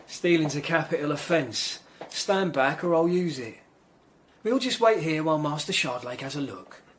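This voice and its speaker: An actor playing a tough, street-wise Tudor assistant, blunt and cocky, in a radio drama. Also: male voice, a little high, expressive, moderate pace, recorded from a distance, close to an everyday voice. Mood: cocky, threatening.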